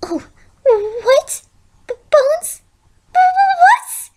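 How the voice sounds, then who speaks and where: A woman's voice: extremely high pitch, very animated, extremely slow, a little mellow, voice pushed up, full character voice. A woman voicing a timid, frightened young female pony in a storybook reading, whimpering and stammering. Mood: terrified. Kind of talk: amateur storybook fan fiction reading.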